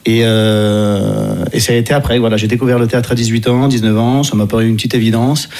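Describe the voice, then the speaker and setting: A man's voice: on the low side, level tone, brisk, vocal fry, darkish tone. A man in his thirties from Italy, speaking as an interview guest in a casual, meandering way and often rephrasing as they go. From Italy, born to French parents. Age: 30s. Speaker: interview guest, actor and stage director.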